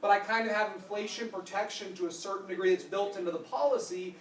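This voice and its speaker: A trainer in their thirties explains policy details to a room and answers audience questions with brisk yeses and nopes. The voice sits at a middle pitch. It is picked up from a distance in a roomy, echoing space with faint noise behind. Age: 30s. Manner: explanatory, answers questions with quick "nope" and "yep". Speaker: insurance product trainer. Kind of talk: training presentation with Q&A. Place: conference room.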